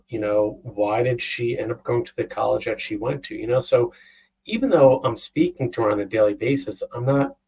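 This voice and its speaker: A middle-aged man explaining things conversationally as an interview guest, with a slightly husky voice, over a video call. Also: mid-range voice, darkish tone, distant mic, poor audio. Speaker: interview guest, company founder. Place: indoor room on a video call. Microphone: wireless earbuds.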